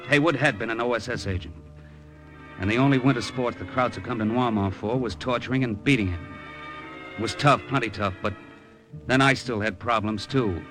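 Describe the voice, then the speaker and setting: An actor playing a cynical, tough-talking American adventurer who narrates in a wry, slangy, clipped radio drama style. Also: male voice, mid-range voice, natural voice. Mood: wry, guarded. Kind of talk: 1950s radio drama acting, hard-boiled narration. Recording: old radio recording with music behind.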